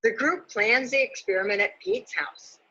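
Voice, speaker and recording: Woman storyteller, high husky voice, expressive children's read-aloud, dull lo-fi recording. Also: normal speed. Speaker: librarian reading a storybook.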